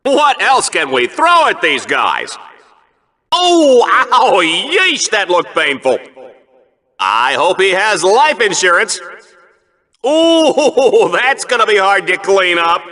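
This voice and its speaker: Give this video game arena commentator a high, bright voice that swoops with showmanship. He is a hammy, smarmy male sportscaster, gleefully callous about the carnage. Every phrase gets theatrical announcer emphasis. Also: heavily acted. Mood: amused, excited. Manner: theatrical, showy announcer delivery with exaggerated emphasis. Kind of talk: over-the-top sports commentary.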